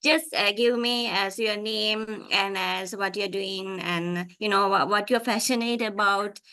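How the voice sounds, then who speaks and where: Bright-voiced Sri Lankan woman leading a workshop. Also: mid-range voice, steady tone, a little slow. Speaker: psychological counselor leading a workshop. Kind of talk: informal webinar presentation. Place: online video call.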